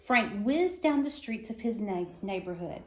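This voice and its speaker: A woman's voice: medium pitch, expressive, a little slow, reverberant. A woman reading a children's story aloud for schoolchildren, phrasing expressively and stressing key words. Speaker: reader of a children's book.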